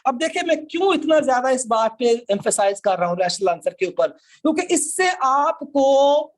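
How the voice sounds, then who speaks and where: A man's voice: extremely high pitch, sing-song, normal speed. A man with a Pakistani accent teaching as a law lecturer, explaining interactively with questions and repetition.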